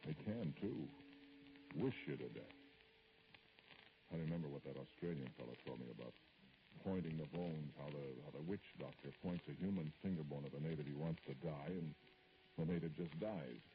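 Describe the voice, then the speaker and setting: A very deep-voiced, troubled man confides his growing dread in an old radio horror drama. He speaks haltingly and broodingly, with an irritable edge. The recording is dull, with faint noise. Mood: defensive, grim. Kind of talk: old-time radio horror acting, first-person narration.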